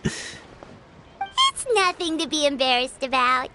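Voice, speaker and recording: A woman voicing a tiny, childlike fairy companion in a video game, cheerful and nosy, with a squeaky voice. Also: wildly swooping pitch, slow pace, noisy background, full character voice. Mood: pleased, teasing. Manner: refers to self in third person.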